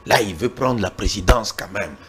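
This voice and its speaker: A man's voice: lowish voice, moderate pace, fairly bright tone, a little hiss or hum. A Congolese man arguing hard in a political interview, repeating words when pressed and citing the Bible. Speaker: interview guest. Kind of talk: heated political debate.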